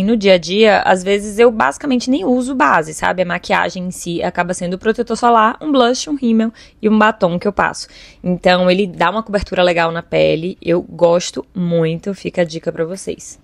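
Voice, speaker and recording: Low, gravelly-voiced woman vlogger. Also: dry recording. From Salvador, Brazil. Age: 30s. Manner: chatty, addresses viewers directly. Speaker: content creator. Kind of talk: vlog voice-over narration.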